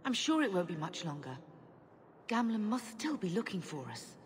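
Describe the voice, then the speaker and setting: A woman's voice: mid-range voice, big pitch swings, moderate pace, slight background noise, natural voice. An actress playing an anxious, grieving middle-aged noblewoman and mother in a video game, earnest and plaintive. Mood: hopeful. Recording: long reverb with faint music.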